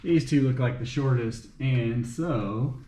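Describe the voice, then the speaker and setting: A man with a mid-pitched voice talks himself through a repair job. He speaks slowly, in short unscripted pieces, as if thinking aloud. The sound is roomy, with clear noise around him. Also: warm tone. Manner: muttering to himself in short fragments while working. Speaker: amateur mechanic narrating his car repair. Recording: roomy, echoey, noisy workshop-like recording.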